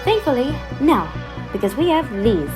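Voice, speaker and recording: A woman's voice: sits high, big pitch swings, normal speed, a little mellow, faint music behind. A woman playing a no-nonsense, impatient time-travelling commander in an audio drama, curt and businesslike as she explains. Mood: businesslike briefing.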